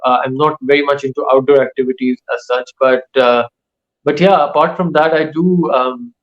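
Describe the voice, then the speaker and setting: A male author, whose first languages are Urdu and Saraiki, giving casual interview answers in a thoughtful way that pauses often mid-sentence. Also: medium pitch, fairly even intonation, highs cut off. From Pakistan. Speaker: author and writing teacher.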